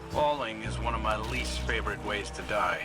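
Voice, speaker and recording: Guy doing a low brooding game scout, earnest and guarded, short lines, loud music behind. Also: moderate pace, close to an everyday voice. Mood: wary. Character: brooding, earnest male scout with a guilty past. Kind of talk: dramatic game dialogue. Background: loud background music.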